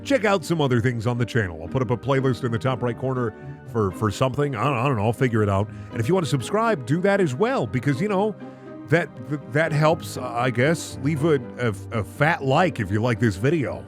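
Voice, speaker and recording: Guy reading bad fanfic for laughs, swoopy pitch, sarcastic asides, faint music behind. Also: lively intonation, a little fast. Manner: incredulous, sardonic asides. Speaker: host reading bad fan fiction. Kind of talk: comedic narration with sarcastic commentary.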